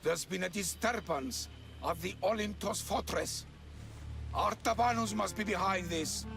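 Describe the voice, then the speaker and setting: An actor playing an authoritative recruiter for a sinister order, giving orders in a video game cutscene. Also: male voice, high-pitched, unhurried, gravelly. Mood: commanding. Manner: authoritative, briefing. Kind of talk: dramatic game cutscene acting. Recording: music under the dialogue.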